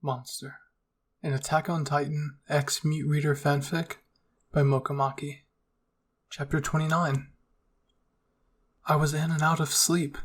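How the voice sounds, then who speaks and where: A man's voice: fairly high pitch, flat delivery, unhurried, long pauses. A man narrating a first-person fan fiction story as an ASMR roleplay.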